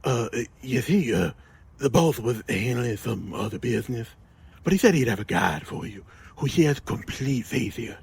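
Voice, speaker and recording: An actor playing a polite, nervous gangland underling with a husky, gravelly voice in an audio drama. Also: male voice, mid-range voice, animated, moderate pace, a little mellow, exaggerated voice. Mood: hesitant, polite. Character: deferential, nervous gangland underling and driver, loyal to his boss.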